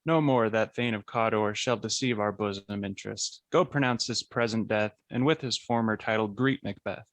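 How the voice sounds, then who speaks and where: A man's voice: fairly low pitch, moderate pace, hardly any pauses, no echo, close to an everyday voice. A man playing a gracious, commanding medieval king in a formal, declamatory Shakespearean radio play. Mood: regal.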